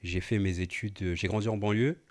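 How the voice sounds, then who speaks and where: A man in his thirties, born in Portugal and raised in France, co-hosting a podcast and telling his life story in a relaxed, familiar way with lots of fillers. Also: fairly low pitch, normal speed. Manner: relaxed, familiar, frequent fillers and repeated "tu vois". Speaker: podcast co-host, entrepreneur. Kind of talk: casual conversational storytelling.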